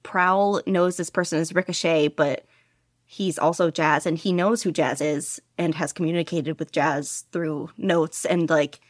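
A woman's voice: on the low side, no room sound, studio quality. A woman hosting a casual podcast chat, talking enthusiastically with lots of fillers and laughter. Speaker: podcast host.

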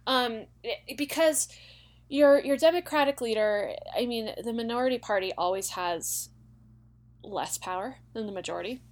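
A woman's voice: a little high, normal speed. An American woman from Utah speaking as an interview guest, explaining things in an emphatic, colloquial way on a casual podcast. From Utah, United States. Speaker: guest, former congressional aide.

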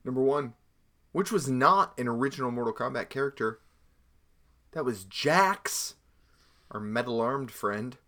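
Relaxed male trivia co-host, animated pitch, easy conversational read. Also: unhurried, long pauses. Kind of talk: casual chat reading trivia answers.

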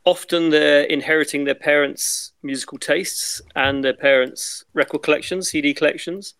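British man, fifties, fairly high pitch, dry sound, wry, casual storytelling, podcast guest. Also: steady tone, normal speed, a bit bright, dry recording. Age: 50s. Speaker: podcast guest, record shop owner. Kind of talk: casual anecdotal chat.

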